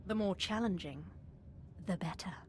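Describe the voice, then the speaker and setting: A woman's voice: fairly low pitch, moderate pace, darkish tone, a little hiss or hum, lightly acted. An actress playing a cocky, confident warrior noblewoman in a video game. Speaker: video game player character. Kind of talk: scripted game dialogue.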